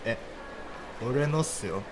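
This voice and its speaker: An actor playing an excitable, lovestruck young man, cocky yet insecure, in slangy junior's speech for a comedic radio drama. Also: mid-range voice, takes it slowly, noisy background. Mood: agitated, boastful.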